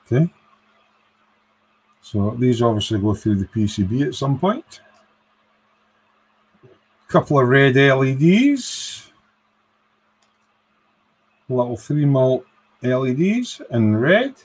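A man's voice: mid-range voice, very animated, takes it slowly, long pauses, husky. A man doing a casual live build of an electronics kit, thinking aloud and counting parts in a workshop. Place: workbench in a home workshop.

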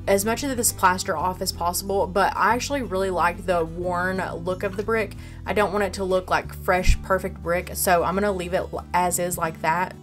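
Plainspoken woman touring a renovation. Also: on the low side. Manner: plainspoken, explains plans step by step. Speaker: homesteading renovation vlogger. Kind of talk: casual walkthrough narration. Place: empty farmhouse room under renovation.

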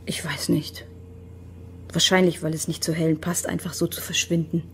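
A woman's voice: low-pitched, flat delivery, normal speed, whispered, lightly acted. An actress in a radio play voicing a composed, evasive young woman who answers questions in a guarded way. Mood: shocked, hushed. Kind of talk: German radio drama acting.

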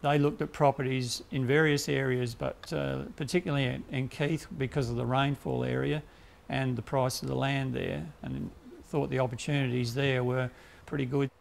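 A grain grower in his fifties with an Australian accent answers questions outdoors on a farm, speaking through a clip-on mic. His mid-range voice has a strong gravelly fry. He speaks in a measured way, often restarting and repeating words. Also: mid-range voice, little pitch movement. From South Australia. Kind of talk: documentary interview answers.

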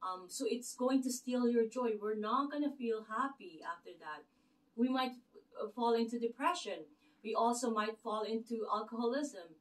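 A woman's voice: fairly high pitch, moderate pace. A middle-aged woman with a Filipino accent, giving an earnest, rambling faith talk to camera with many fillers and restarts in her living room. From Philippines. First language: Tagalog. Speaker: channel host. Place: living room with a lit Christmas tree. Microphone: camera across the room. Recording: distant camera mic in a room.

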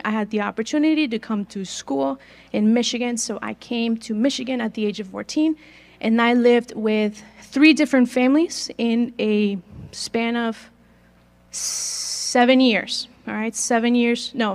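A woman in her twenties from northern Mexico, Spanish her first language, with a slight Mexican accent, giving a chatty sermon into a handheld mic in a large gym and asking the audience questions. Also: fairly high pitch. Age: 20s. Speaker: guest speaker. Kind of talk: conversational sermon.

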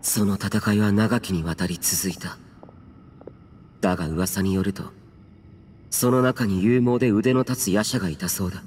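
A man's voice: lowish voice, normal speed, pauses often, darkish tone, a little hiss or hum, natural voice. A man acting in a Japanese game as a stern, aloof, youthful immortal warrior who speaks tersely and solemnly in archaic words. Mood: solemn, resolute. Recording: long reverb, faint music behind.

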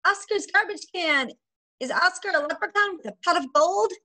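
A woman in her forties, a preschool teacher, reading a picture book aloud to young children in an expressive, sing-song manner. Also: very high-pitched, normal speed. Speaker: preschool teacher and story time host. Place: small indoor room at home.

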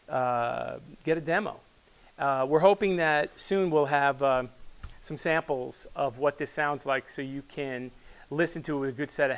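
Guy in his 60s presenting a product off the cuff, clean smooth voice on the higher side, stop and start phrasing. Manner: measured, halting, with pauses mid-phrase. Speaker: loudspeaker company presenter and product developer. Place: small wood-panelled studio, indoors. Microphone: lapel mic.

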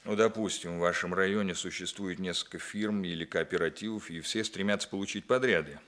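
An actor playing a stern, methodical police captain in a 1960s Soviet radio play, deliberate, authoritative and dryly ironic. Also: male voice, sits low, steady tone, a bit bright. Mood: probing, firm.

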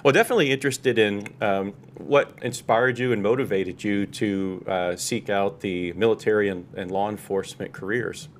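Low-voiced man hosting a podcast. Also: steady tone, moderate pace, hardly any pauses. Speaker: podcast host. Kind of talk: reading a scripted guest introduction, interviewing. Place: small podcast studio with large windows. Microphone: close studio mic with headset. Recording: faint noise and low rumble.

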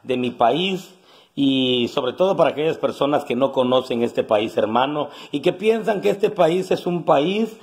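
A man's voice: a little high, lively intonation, unhurried, breathy edge. A man in his forties with a coastal Guerrero Mexican accent, talking casually and colloquially into his phone in an earthy, salty way. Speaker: vlogger. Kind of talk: casual selfie vlog monologue to camera. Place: outdoors on a roadside, starting indoors in a house. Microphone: phone at arm's length.

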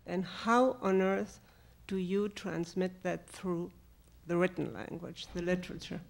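A woman in the audience asking a question and making comments from the floor, with an uneven, deliberate pace. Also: on the low side, fairly even intonation, gravelly.

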